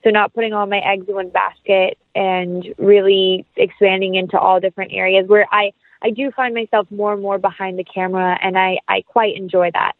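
A woman's voice: medium pitch, flat delivery, fairly quick, no echo. A woman speaking casually as an interview guest, earnest and upbeat, over a tinny phone-like connection. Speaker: interview guest, actress and producer.

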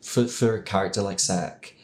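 Woman, very low pitch, casual podcast panellist, colloquial, rambling. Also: fairly even intonation, moderate pace, darkish tone, a little hiss or hum. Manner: rambling, colloquial, uses many "like"s. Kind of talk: casual chat.

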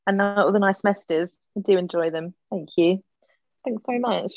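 A woman's voice: mid-range voice, normal speed, vocal fry, a little mellow, dry recording, highs cut off. A woman co-hosting a podcast in casual chat, giggly and chatty. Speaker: podcast co-host.

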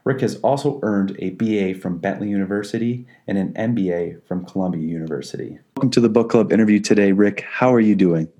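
Low-voiced male podcast host. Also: fairly quick, few pauses, mellow, muffled recording. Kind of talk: reading an introduction, then chatting.

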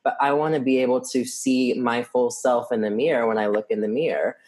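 A man's voice: mid-range voice, fairly even intonation, brisk, darkish tone. A young man in his twenties chatting casually and candidly, often laughing and swearing lightly. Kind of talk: casual chat.

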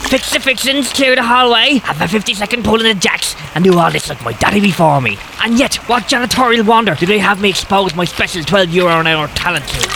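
Crude grumbly janitor, gravelly but high and swoopy, noisy background. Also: male voice, expressive, a little fast, few pauses. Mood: bitter. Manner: grumbling, obsequious to superiors. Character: resentful, muttering, crude male college janitor. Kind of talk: audio comedy drama acting.

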